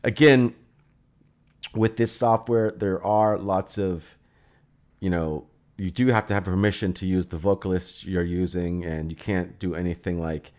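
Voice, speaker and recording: Low-voiced male, loose and rambling, thinking out loud through a software walkthrough. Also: steady tone, unhurried. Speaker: plugin reviewer and host. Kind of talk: casual software demo commentary.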